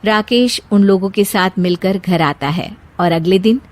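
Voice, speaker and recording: A woman's voice: medium pitch, a little slow, a little mellow. A woman storyteller giving scripted narration of a moral story.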